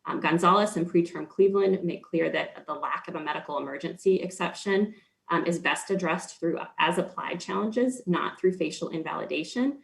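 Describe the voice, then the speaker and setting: Gravelly-voiced attorney arguing an appeal. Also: male voice, a little high, fairly bright tone. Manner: measured, formal, frequent ums. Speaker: state's attorney. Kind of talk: appellate oral argument.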